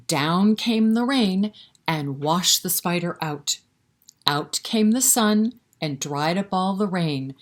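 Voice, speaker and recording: A woman with a fairly low voice reads for a children's storytime. She speaks straight to young listeners, encouraging them to join in, with a rhythmic feel suited to nursery rhymes. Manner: encouraging, interactive, talking directly to young children. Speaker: children's library storytime reader.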